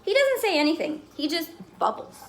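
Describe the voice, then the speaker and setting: A woman reading a children's picture book aloud as a fussy, put-upon goldfish telling its diary, with dramatic, expressive delivery. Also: very high-pitched, fairly quick, voice pushed up, heavily acted. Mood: dry, bemused. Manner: dramatic, exasperated, expressive storytelling.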